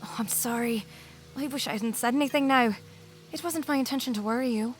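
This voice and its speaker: A woman's voice: fairly high pitch, fairly quick, faint music behind, lightly acted. A woman playing a soothing, attentive wife in an audio drama, polite and reassuring in manner. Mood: politely concerned. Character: soothing, attentive new wife with veiled intentions.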